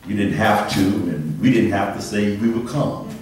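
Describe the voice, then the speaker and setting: A man's voice: fairly low pitch, moderate pace, a crowd in the background. A man preaching conversationally in church as a pastor, often calling on the congregation to respond. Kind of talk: church sermon. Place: indoor church, speaking from pulpit to congregation. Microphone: handheld microphone through PA, picked up by distant camera. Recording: echoey church hall, distant camera microphone, congregation responding.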